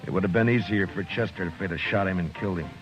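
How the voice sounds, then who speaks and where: A man acting a grim, stubborn frontier lawman in a 1950s western radio drama, speaking in terse, deliberate, understated lines. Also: low-pitched, natural voice. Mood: grim, determined. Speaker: lead actor and narrator. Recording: dull old radio recording with music.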